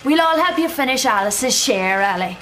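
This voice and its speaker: An actress playing a wry, kindly mill worker, speaking in a radio drama. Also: female voice, sits high, animated, normal speed, fairly bright tone, a little hiss or hum.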